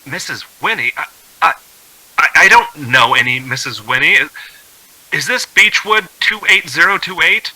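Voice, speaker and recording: An actor playing a distraught, haunted traveler in a radio drama, speaking dramatically and stammering when shaken. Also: male voice, on the high side, lively intonation, moderate pace, sharp tone, full character voice, poor audio. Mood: panicked disbelief. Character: distraught, haunted male traveler on the edge of panic. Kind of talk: old-time radio drama acting.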